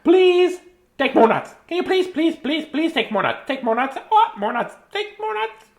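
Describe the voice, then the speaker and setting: A woman's voice: high-pitched, big pitch swings, moderate pace, voice pushed up. A woman voicing a dramatic squirrel who is fed up with nuts, in a singsong, wailing way. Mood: giddy.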